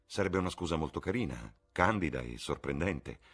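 A man voicing a witty, languid aristocratic gentleman in an audiobook, airy and mocking, with a husky voice. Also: very deep voice, animated, normal speed, exaggerated voice. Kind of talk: audiobook character voice.

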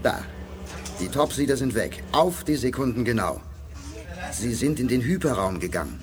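An actor playing a blunt, excitable space officer in a science fiction radio play. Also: male voice, mid-range voice, normal speed, music in the background, close to an everyday voice. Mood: excited, worried.

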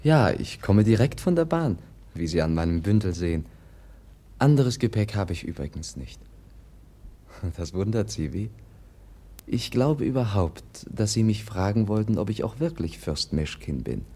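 An actor in a radio play playing a gentle, naive young prince, courteous, candid and earnest in his speech. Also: fairly low pitch, lively intonation, normal speed, slightly rough. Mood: calm, candid. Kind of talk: 1950s German radio play acting.

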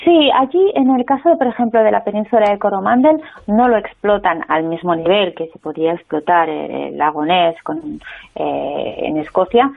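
A woman's voice: mid-range voice, normal speed, creaky. A woman with a Spanish accent, a guest author in a phone interview, talking with many hesitations and restarts. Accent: Spanish (Spain). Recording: tinny phone line.

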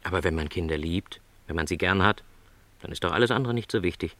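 A man's voice: sits low, fast talker, a bit bright, no echo. An actor playing a lonely, contented travelling salesman who is no longer young, speaking modestly and politely in a radio play. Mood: gently reflective. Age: middle-aged.

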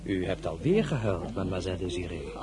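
Low, animated, gallant general. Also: male voice, brisk, crowd noise. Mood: formal, tender. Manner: formal, gallant. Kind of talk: radio drama acting.

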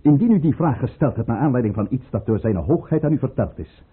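A man playing a proud, calculating governor at court in a period radio play, formal and theatrical, with a husky voice. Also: mid-range voice, animated. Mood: stiff, offended. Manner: formal, measured, theatrically indignant or deferential. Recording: muffled, tinny old radio recording.

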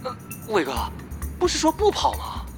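An actor playing a hesitant young gamer underling, haltingly deferential but reproachful, in an audio drama. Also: male voice, extremely high pitch, wildly swooping pitch, exaggerated voice. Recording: music under the voice.

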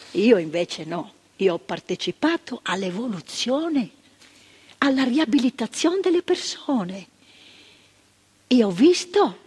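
An older actress playing a frank, earnest former psychiatric nurse in a stage monologue. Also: female voice, medium pitch, slightly rough. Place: theatre stage.

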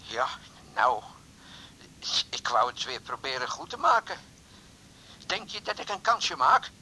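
An actor in a radio drama playing an impulsive, sheepish middle-aged suitor who talks haltingly and pleadingly. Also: male voice, medium pitch, big pitch swings, sharp tone, a little hiss or hum, full character voice, poor audio. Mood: regretful, pleading. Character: impulsive, sheepish older suitor calling on the phone. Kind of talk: 1980s Dutch radio drama acting.

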